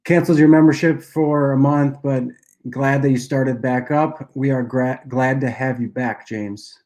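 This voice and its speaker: A young man with a nasal voice from a cold, casually answering viewer questions on a livestream and often clearing his throat. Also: medium pitch, unhurried. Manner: relaxed, loose delivery with frequent uhs and throat clearing. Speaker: app cofounder and dietitian hosting a Q&A livestream.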